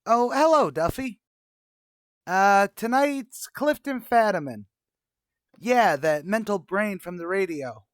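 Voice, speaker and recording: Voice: mid-range voice, animated, takes it slowly, dry recording, studio quality. An actor playing a pompous, wisecracking tavern manager with a brash, mock-erudite manner in a radio comedy. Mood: breezy. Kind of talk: old-time radio comedy acting.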